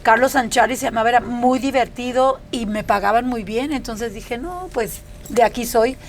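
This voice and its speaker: Chatty woman, bright-ish voice. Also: fairly high pitch, normal speed, a little hiss or hum. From Mexico. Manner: chatty, anecdotal storyteller. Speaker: chef and restaurant founder, guest. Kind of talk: casual interview chat.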